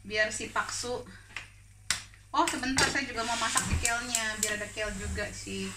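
A woman's voice: a little high, moderate pace, very noisy. A woman from Indonesia hosting a live cooking stream from her home kitchen, chatting and laughing as she answers viewer questions. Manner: chatty, laughs often, code-switches into English words. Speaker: cooking show host.

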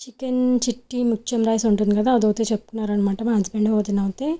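A woman's voice: medium pitch, fairly even intonation, very fast, mellow, faint music behind. A young adult woman narrating her family outing in a casual, chatty vlog voice-over. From Andhra Pradesh, India. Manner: chatty, filler-heavy storytelling. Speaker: vlogger.

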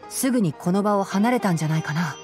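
Woman voicing a calm young male scholar. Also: medium pitch, over music, lightly acted. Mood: thoughtful. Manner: measured, explanatory, soft-spoken. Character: gentle young male forest ranger scholar, calm and analytical. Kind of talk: Japanese anime game voice acting.

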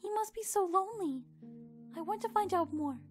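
A woman voicing a gentle, shy, earnest young pony in an animated fan drama, soft-spoken and hesitant. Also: extremely high pitch, a little fast, faint music behind. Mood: earnest, wistful.

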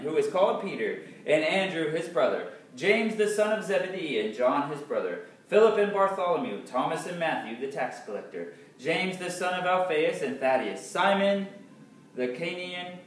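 A man in his thirties reading scripture aloud in a dramatic, emphatic way, pausing deliberately between phrases. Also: high voice, a little hiss or hum. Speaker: Bible reader. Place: small, sparsely furnished living room indoors. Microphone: distant camera microphone. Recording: echoey room, far from the camera mic.

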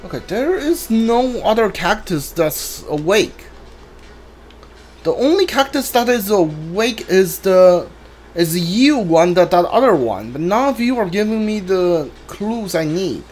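Male gamer, high voice, casual playthrough commentary, halting delivery, faint game music. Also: sing-song, a little mellow. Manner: halting, stumbling over words. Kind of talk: casual gameplay commentary, reading dialogue aloud.

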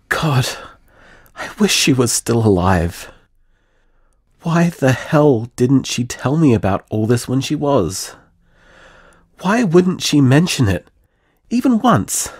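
An actor playing an anxious, frustrated, grieving man, halting and questioning as he vents in an audio drama voicemail. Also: medium pitch, a little mellow, husky, no echo, studio quality, exaggerated voice.

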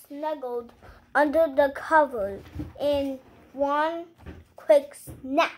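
A child with a high voice reads a picture book aloud at a slow, halting pace. The delivery is playful, with exclamations and little asides to viewers, like a young host presenting at home. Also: a little hiss or hum. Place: living room at home, indoors.

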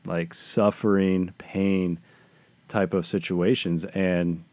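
A man's voice: deep voice, little pitch movement, slow, a little mellow, dry recording. A man co-hosting a podcast discussion about faith in a conversational style. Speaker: podcast co-host.

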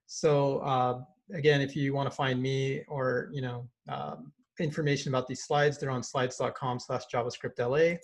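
Man, 30s, meetup host, upbeat, filler-heavy, gravelly edge, webcam call. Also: medium pitch, level tone, moderate pace. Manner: upbeat, many fillers like "you know" and "um". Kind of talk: casual hosting and presentation. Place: indoor room with brick wall. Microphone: webcam on video call.

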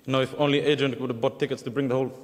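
A man's voice: mid-range voice, little pitch movement, rapid-fire, long reverb tail. A young man from Fiji giving a formal, earnest acceptance speech with touches of humor at an awards ceremony. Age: young adult. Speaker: award recipient. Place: large hall at an awards ceremony.

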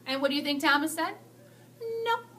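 High, bright, animated woman reading aloud. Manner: expressive, drawn-out emphasis for young listeners. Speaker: school staff storyteller reading to children. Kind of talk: animated children's read-aloud.

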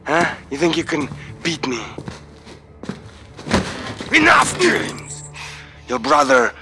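A man's voice: fairly high pitch, moderate pace, over music, close to an everyday voice. An actor playing a cruel, menacing middle-aged Russian general in a video game, taunting as he interrogates, with a Russian accent. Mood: cold menace. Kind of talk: cinematic video game acting.

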